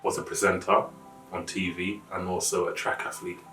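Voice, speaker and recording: Guy late 20s from london, deep flat-pitched voice, interview answers, echoey. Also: moderate pace, distant mic, soft background music. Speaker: actor and personal trainer. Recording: echoey room, off the mic.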